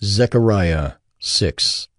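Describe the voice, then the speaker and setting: A man's voice: fairly low pitch, lively intonation, extremely slow, a bit bright. A man reading scripture as the narrator of a dramatized audio Bible.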